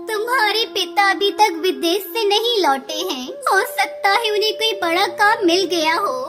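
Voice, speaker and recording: A woman's voice: very high-pitched, expressive, a little slow. A woman voicing a poor, anxious mother bird in a cartoon story, with a pleading, plaintive manner. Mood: worried. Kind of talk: Hindi cartoon moral-story dialogue.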